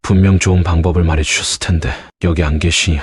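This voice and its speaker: A man voicing a cocky young man who taunts and interrogates a captured intruder in an animated drama. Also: deep voice, fast, breathy edge. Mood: taunting. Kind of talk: animated webtoon voice acting.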